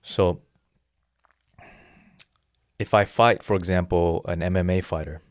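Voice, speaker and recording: A man's voice: sits low, steady tone, measured pace, no room sound, clean studio sound. A man in his thirties, a jiu-jitsu instructor, explaining his philosophy earnestly in a casual interview with frequent restarts. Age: 30s.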